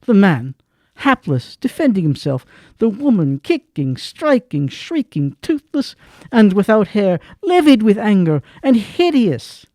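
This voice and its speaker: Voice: mid-range voice, very animated, measured pace, warm tone, no room sound, clean studio sound. A narrator telling a dramatic story in an audio drama. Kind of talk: scripted narration.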